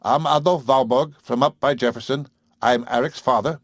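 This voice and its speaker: A man voicing a gruff, bullying old farmer with a whining, rough country dialect. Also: mid-range voice, normal speed, no echo, clean studio sound, pitched above the performer's own voice, lightly acted. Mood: angry, sneering.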